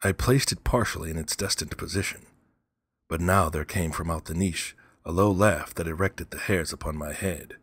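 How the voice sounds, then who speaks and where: A man narrating a gothic story as a cold, vengeful murderer, measured and grave. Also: deep voice, fairly bright tone, slightly rough, no room sound. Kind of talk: dramatic gothic first-person narration.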